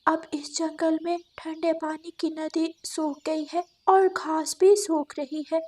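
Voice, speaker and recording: Lady doing a naive girl goat, high voice, trusting but kinda stubborn, kids cartoon. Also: level tone, slow pace, darkish tone. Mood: trusting, firm.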